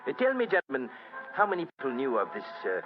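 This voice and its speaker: An actor playing a confident French police inspector in an old radio drama, formal and deliberate, with a French accent. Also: male voice, mid-range voice, huge pitch swings. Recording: lo-fi old radio recording, faint music.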